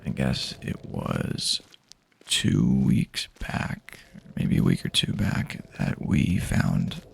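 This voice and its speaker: A man doing improvised ASMR roleplay as an easygoing survivor guiding a friend, speaking to the listener in halting, casual phrases. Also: extremely low pitch, very animated, slight background noise. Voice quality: husky, gravelly, soft-edged voice. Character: easygoing survivor guiding a friend through post-apocalyptic wasteland.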